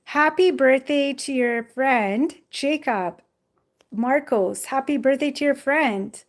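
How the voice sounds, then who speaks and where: A talkative young woman chatting with her viewers on a live stream, recorded on the phone she holds in her hand. Her voice sits a little high, and she rambles easily, full of casual fillers. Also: slow pace. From Jamaica. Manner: chatty, rambling, frequent "like" and "you know". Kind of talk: casual live chat reading viewer comments. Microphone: phone held in hand.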